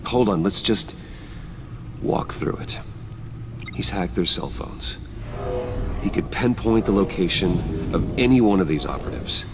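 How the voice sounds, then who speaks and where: A man playing a grave, determined vigilante detective in a video game, speaking in measured, terse English. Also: sits low, animated, over music. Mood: grave, analytical. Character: grave, determined adult male vigilante detective, low and controlled. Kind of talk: dramatic video game acting. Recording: clearly noisy game audio.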